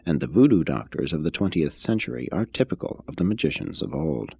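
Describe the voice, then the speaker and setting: A man narrates a scripted text in a very low, husky voice. His delivery is measured and formal, yet the pitch moves in lively swings. The sound is dry and close. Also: dry recording. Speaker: reader, narrator. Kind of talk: scripted audiobook-style reading of an expository text.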